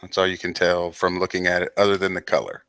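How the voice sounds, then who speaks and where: A man running a tabletop game as game master, describing scenes in a plain, measured way. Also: low voice, completely flat delivery, a bit bright, smooth, no room sound.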